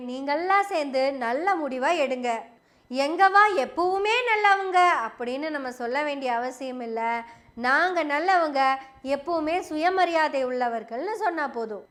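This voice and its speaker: A woman's voice: high-pitched, fairly bright tone. A woman giving an opinion monologue to camera as a political commentator, sarcastic and full of rhetorical questions.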